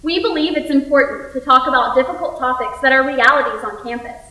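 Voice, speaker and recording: Young female student performer, high register, scripted theatrical delivery, roomy echo. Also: fast talker, pushed and bright. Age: young adult. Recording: echoey room.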